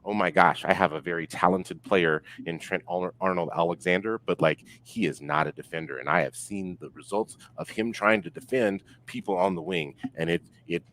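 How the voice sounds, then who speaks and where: A man's voice: low-pitched, moderate pace, hardly any pauses, a bit bright, a little hiss or hum, rough recording. A man giving opinions in a casual sports discussion, very informal and peppered with filler words. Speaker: podcast guest.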